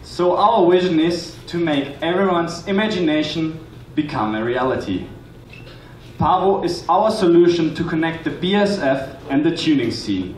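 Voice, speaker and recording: A man in his twenties presenting a rehearsed pitch as a student on a hall stage. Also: animated, normal speed. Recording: echoey, noisy hall, distant microphone pickup.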